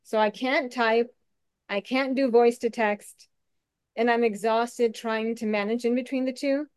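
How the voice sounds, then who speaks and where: A woman talks openly on a video call in a soft, somewhat shaky voice that often restarts mid-sentence. Her tone sits a little high and sounds smooth and clean. The sound is close and dry, with no room around it. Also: steady tone, unhurried, dry recording. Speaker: webinar participant. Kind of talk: candid conversational sharing.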